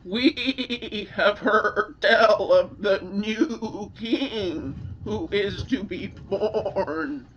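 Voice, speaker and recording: A woman voicing a humble mountain goat for a storybook, bleating and pleading in a halting way. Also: mid-range voice, lo-fi sound, a little hiss or hum, voice pushed up.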